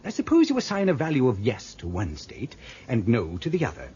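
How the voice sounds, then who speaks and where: A man's voice: medium pitch, huge pitch swings, brisk, dark tone, husky, heavily acted. An actor playing a fussy, grumbling old British man who rambles and explains pedantically in a radio drama. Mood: pedantic, explaining. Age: older. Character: fussy, grumbling old man, former trainee computer operator. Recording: dull lo-fi radio recording with hiss.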